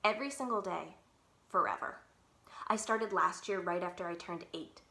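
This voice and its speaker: A woman's voice: on the low side, moderate pace, pushed and bright. A woman playing a bookish, determined nine-year-old girl in a children's storybook, earnest and spirited. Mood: proud.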